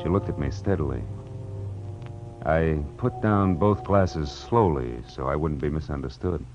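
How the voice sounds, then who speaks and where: A very low-voiced American private eye from a vintage radio drama. He is wry, deadpan and quick with a wisecrack. The recording is dull and old, with music behind him. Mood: wry, world-weary. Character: wry, hard-boiled male private detective narrating his case. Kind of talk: 1940s-50s radio noir narration and acting.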